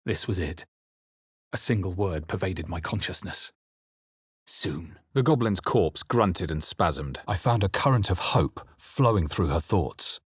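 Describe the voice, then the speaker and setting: Wary fantasy game hero, really low husky voice, earnest and dramatic, super dry close recording. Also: male voice, lively intonation, a little slow, dry recording, heavily acted. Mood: grave, haunted. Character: male fantasy adventurer, brooding, wary and earnest. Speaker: video game player-character voice actor. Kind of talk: dramatic scripted game narration and reaction lines.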